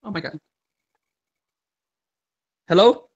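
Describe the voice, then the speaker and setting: A man in his thirties on a video call, excitable and quick to react, speaking into a phone held close. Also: muffled recording. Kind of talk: casual video call chat. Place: small tiled room, indoors. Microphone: phone held close to the face.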